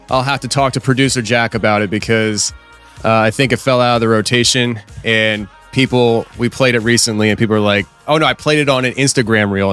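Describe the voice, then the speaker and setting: A man in his thirties hosting a live podcast stream, chatting casually and rambling with lots of fillers, his voice a bit hoarse from losing it. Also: medium pitch, a bit bright. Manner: rambling, talkative, many fillers and restarts. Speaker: podcast host. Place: office studio.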